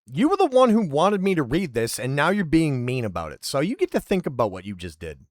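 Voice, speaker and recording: A man's voice: on the high side, wildly swooping pitch, brisk, no room sound, clean studio sound. A man streaming casually who rambles and pokes fun at himself while reading roleplay aloud. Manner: rambling and self-deprecating.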